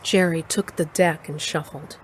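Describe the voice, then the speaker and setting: An actress playing a storyteller who narrates an audio drama in a measured, matter-of-fact way. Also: female voice, low-pitched, steady tone, slight background noise, rough recording. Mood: grave, measured. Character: matter-of-fact female storyteller recounting her dark tale. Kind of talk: scripted narration.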